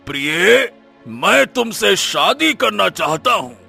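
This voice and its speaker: An actor playing a commanding, infatuated emperor in a cartoon story, with a gravelly, husky voice. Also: male voice, high-pitched, big pitch swings, slow, pushed and bright, soft background music, full character voice. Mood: amorous.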